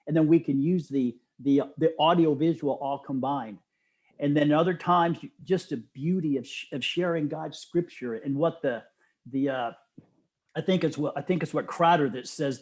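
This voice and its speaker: Enthusiastic middle-aged man, slightly high-pitched voice. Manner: animated storyteller, often quoting others with emphasis. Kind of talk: casual, enthusiastic interview talk.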